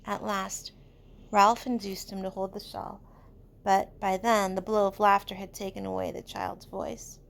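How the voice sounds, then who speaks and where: A woman reading a novel aloud in expressive, measured storytelling narration, like a teacher. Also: medium pitch, vocal fry. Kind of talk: audiobook-style read-aloud narration of a novel.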